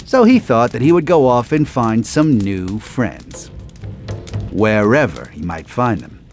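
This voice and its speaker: A man voicing a dry, skeptical sabretooth tiger uncle in an animated game, teasing and deadpan but gruffly affectionate. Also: medium pitch, measured pace, over music. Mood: teasing storytelling. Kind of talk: animated game cutscene dialogue.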